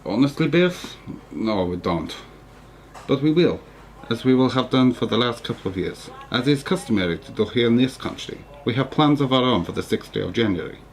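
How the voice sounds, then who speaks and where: An actor in a radio play as a reserved, evasive foreign fiancé. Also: male voice, mid-range voice, expressive, normal speed, slight background noise, natural voice, low-quality recording.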